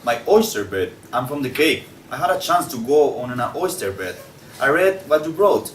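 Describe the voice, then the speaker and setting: A man's voice: medium pitch, sing-song, moderate pace, recorded from a distance, close to an everyday voice. A man playing a plain, earnest oysterman in a table read of a stage play. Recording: echoey room from afar, faint noise.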